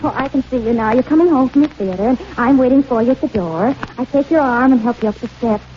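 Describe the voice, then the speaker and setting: A woman acting an eager, high-voiced radio sweetheart. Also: fast, lightly acted. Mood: dreamy, eager. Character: eager, romantic, practical-minded sweetheart of a playwright. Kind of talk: 1940s radio comedy acting. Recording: muffled, noisy 1940s radio recording.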